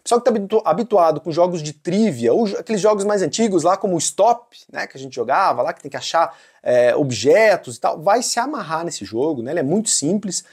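Husky Brazilian woman explaining casually. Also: on the low side, animated, fairly quick, hardly any pauses, a little mellow. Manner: informal, conversational, frequent check-in tags. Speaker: board game reviewer and channel host. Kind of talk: casual explanatory tutorial and review.

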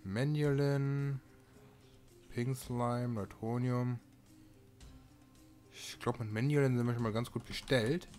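A man's voice: mid-range voice, steady tone, takes it slowly, pauses often, darkish tone. A man giving casual let's-play commentary as a gaming video host, thinking aloud while he plays. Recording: music behind the voice.

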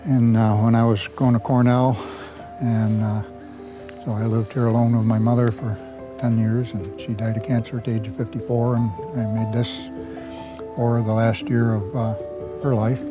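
An older man giving a garden tour outdoors, talking in a folksy, unhurried way on a lapel mic. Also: mid-range voice, flat delivery, music in the background. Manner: folksy, unhurried, chuckles at own jokes. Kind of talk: casual storytelling tour.

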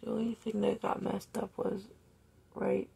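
A young woman vlogging a makeup tutorial at home, talking casually and softly, often near a whisper, with a slightly raspy voice, recorded close on a phone in a bedroom. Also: medium pitch, little pitch movement, unhurried. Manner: soft-spoken, often near a whisper while working. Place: bedroom, indoors. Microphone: phone camera close up.

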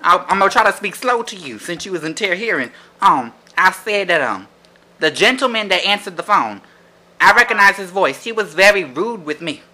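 A man voicing a loud, sassy, indignant big woman as a prank caller, brash and insistent in a comic complaint. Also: high voice, lively intonation, full character voice, low-quality recording. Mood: polite indignation. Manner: brash, confrontational, drawn-out insistent phrasing. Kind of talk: improvised comic prank call. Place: living room at home.

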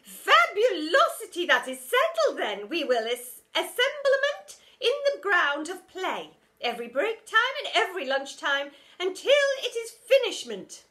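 A woman playing a loud pompous art teacher. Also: very high-pitched, huge pitch swings, unhurried, hardly any pauses, voice pushed up, exaggerated voice. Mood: grandiose. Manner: mangles words with made-up endings, loud and drawn out. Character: pompous vain female art teacher, grandiose. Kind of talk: storybook character voice.

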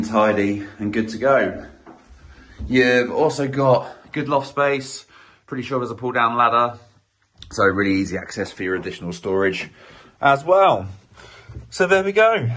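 Croaky estate agent, lower-pitched, upbeat and conversational, many fillers. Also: male voice, fairly bright tone. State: slightly croaky voice. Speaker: estate agent giving a property tour. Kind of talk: casual walk-through property tour. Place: inside a small house, walking room to room.

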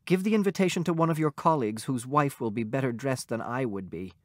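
A man voicing a discontented, vain young wife who answers in a petulant, impatient way, well above his own pitch. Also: big pitch swings, measured pace, fairly bright tone, dry recording, clean studio sound, heavily acted. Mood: resigned.

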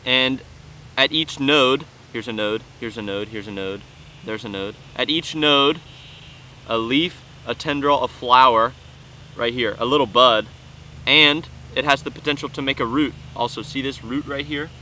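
A man's voice: mid-range voice, unhurried, bright, forceful tone, slight background noise, low-quality recording. Young man teaching outdoors in a farm field. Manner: enthusiastic, explains step by step while handling plants. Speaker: farm educator. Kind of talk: outdoor teaching walk-through. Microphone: lapel mic.

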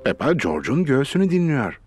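A man narrating a children's cartoon in a clear, expressive storytelling voice. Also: mid-range voice, normal speed. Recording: music playing under the voice. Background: children's cartoon music.

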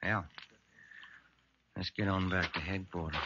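An actor playing a plain-spoken, practical police detective partner in an old radio crime drama. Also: male voice, low voice, steady tone, measured pace. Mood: sober. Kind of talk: 1940s-50s radio crime drama acting. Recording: noisy, muffled old radio recording.

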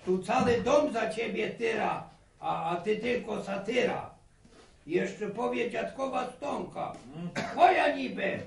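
A man's voice: very high-pitched, takes it slowly, gravelly, full character voice. An actor playing a talkative, grumbling old village grandfather in a radio drama, stammering and repeating himself. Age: older. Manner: stammering, repetitive, folksy sayings. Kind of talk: Polish radio drama acting. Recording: roomy, distant old radio recording.

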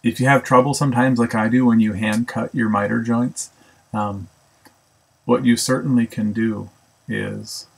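A man's voice: mid-range voice, fairly even intonation, moderate pace, gravelly, husky, low-quality recording. A man casually narrating a woodworking build, thinking aloud with ums and self-corrections. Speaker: woodworking instructor. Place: home woodshop.

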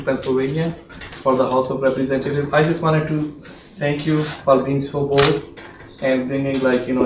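A middle-aged man from Pakistan with a Pakistani accent, standing in the audience and earnestly making a deliberate point. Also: medium pitch, noisy background. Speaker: audience member, political candidate. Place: university lecture room, standing in audience. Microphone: far room mic. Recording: echoey room from far off.

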